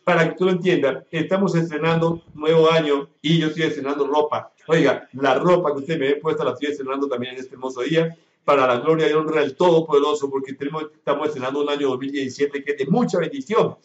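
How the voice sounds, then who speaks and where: Male pastor, slightly high voice, fervent repetitive chanting, speaking in tongues, echoey church, dull radio sound. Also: fairly even intonation, fast talker. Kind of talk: fervent healing prayer on radio broadcast. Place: church service.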